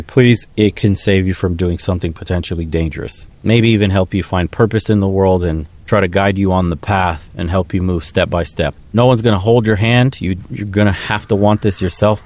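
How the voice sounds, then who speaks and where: A man's voice: deep voice, darkish tone. A man giving blunt, casual reaction commentary, reading viewer comments and advising his audience. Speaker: online commentator.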